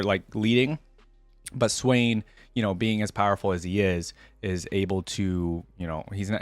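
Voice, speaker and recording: A man's voice: lowish voice, no room sound. A man hosting a reaction video, moving between informal, rambling commentary and reading text aloud. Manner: informal, rambling, thinking out loud.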